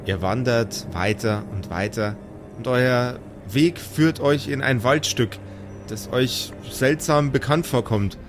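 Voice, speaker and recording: A man with a medium-pitched voice tells an improvised fantasy story as game master. His delivery is measured and atmospheric, and music sits clearly behind him.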